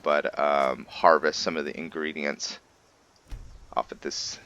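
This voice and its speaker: A man giving casual gameplay commentary and roleplay storytelling, haltingly thinking aloud as he goes. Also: a bass voice, level tone, pushed and bright, clean tone, muffled recording. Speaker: gaming video host and roleplayer.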